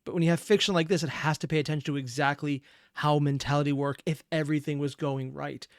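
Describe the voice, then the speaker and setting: Clear, dry-sounding man, slightly high voice. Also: fairly even intonation, no room sound. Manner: earnest, analytical, deliberate emphasis. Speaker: reaction video host. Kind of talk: reflective commentary on a fan dub.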